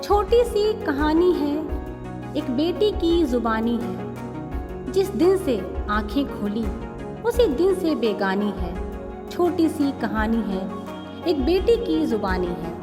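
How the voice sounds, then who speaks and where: A high, very lively woman's voice presents poetry and stories with expressive, deliberate phrasing. It rings in a long reverb, with music playing behind. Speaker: host of a story and poetry show. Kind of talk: expressive poetry recital and audience address.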